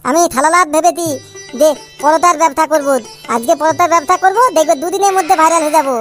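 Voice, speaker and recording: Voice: very high-pitched, fast, a bit bright, soft background music. A performer voicing a cheeky, foul-mouthed little boy in a comic cartoon, boastful and grumbling with a childish lisp. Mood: boastful, eager.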